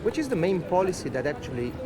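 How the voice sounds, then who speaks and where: A woman's voice: fairly low pitch, big pitch swings, fast talker. A woman from Italy with an Italian accent, asking passers-by direct, probing questions on a busy town street. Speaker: interviewer. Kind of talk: street vox-pop interview.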